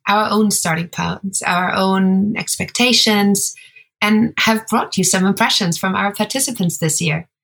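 A woman co-hosting a podcast, speaking in a measured, explanatory way. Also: mid-range voice, gravelly, fairly bright tone. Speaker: podcast co-host. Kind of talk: scripted podcast narration.

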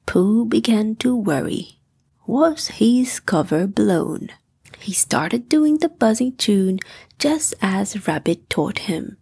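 A woman narrating a scripted bedtime story for children with a soft, gentle delivery. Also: medium pitch, expressive, takes it slowly, creaky, slightly rough, no echo.

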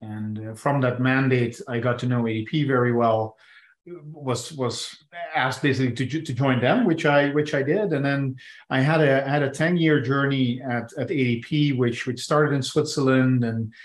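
A man's voice: medium pitch, fairly even intonation, moderate pace, reverberant. A man in his forties, a business executive speaking as an interview guest, talking in a hesitant way with many restarts. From Netherlands. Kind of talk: conversational interview.

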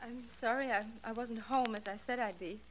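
Radio widow, actress: high, soft-spoken, hesitant, genteel, polite, on noisy vintage audio. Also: female voice, steady tone, brisk, natural voice. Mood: fragile, faltering. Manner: faltering, hushed, polite. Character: hesitant, genteel, newly widowed doctor's wife. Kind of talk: 1940s American radio drama acting.

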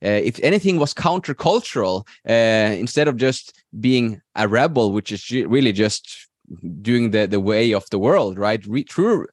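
A man's voice: lowish voice, lively intonation, normal speed, dry recording. Hesitant Norwegian-accented man, dry close sound. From Norway. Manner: hesitant, many fillers and restarts. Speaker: podcast host. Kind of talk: personal faith story, reflective monologue.

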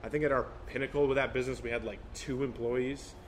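A man's voice: medium pitch, steady tone, quick pace, slight background noise. A man of twenty-three recounting his early businesses in casual chat, candid and a little complaining. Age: 20s. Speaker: business co-founder guest.

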